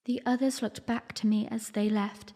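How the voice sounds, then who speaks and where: Reverberant, mellow young princess played by a woman. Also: fairly high pitch, flat delivery, normal speed. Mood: reflective, wistful. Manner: formal, reflective storytelling. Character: young princess, earnest, weary, quietly defiant narrator. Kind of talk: first-person audio drama narration. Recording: long reverb, music underneath. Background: music.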